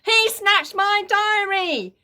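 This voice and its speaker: A woman's voice: extremely high pitch, slow, pushed and bright, pitched above the performer's own voice, full character voice. A woman voicing a whiny, goody-goody little brother who shrieks and wails in a children's storybook. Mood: shrieking, wailing. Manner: shrieking, wailing, snivelling.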